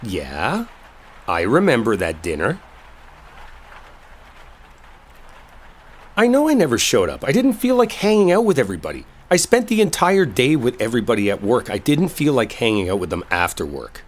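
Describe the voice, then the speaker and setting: Guy acting as husky-voiced coworker in a roleplay audio, defensive but smitten, pleading, pitch jumps around a lot. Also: wildly swooping pitch, a little fast. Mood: defensive, annoyed. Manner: emotional, pleading, emphatic, conversational. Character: exasperated coworker man, defensive, secretly smitten, earnest. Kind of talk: roleplay audio drama, intimate one-sided dialogue.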